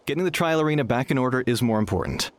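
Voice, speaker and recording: A man's voice: medium pitch, lively intonation, very fast, lightly acted. An actor playing a young male arena worker in a video game, practical and easygoing, explaining things conversationally. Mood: curious, resigned. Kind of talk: video game dialogue acting.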